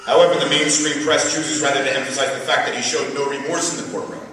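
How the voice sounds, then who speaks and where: A man in his thirties reading a prepared speech at a podium in a mosque prayer hall, in a measured, deliberate way. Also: mid-range voice, steady tone, noisy background. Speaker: speaker at a community event. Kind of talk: read speech. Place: mosque prayer hall, indoors, medium-sized. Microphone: podium mic filmed by a far camera. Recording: echoey hall, voice heard from far off.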